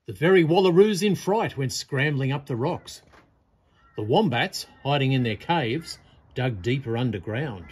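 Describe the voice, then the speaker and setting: A man's voice: mid-range voice, expressive, unhurried, a little mellow, breathy edge. A man reading a picture-book poem aloud as a storyteller, in a theatrical, dramatic style. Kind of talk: expressive read-aloud poetry recitation. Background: birdsong.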